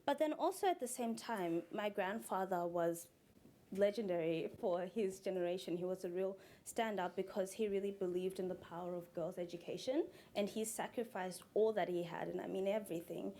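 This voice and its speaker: A woman's voice: mid-range voice, normal speed, mellow, a little hiss or hum. A woman from Zimbabwe answering on a panel as a human rights advocate, composed and heartfelt.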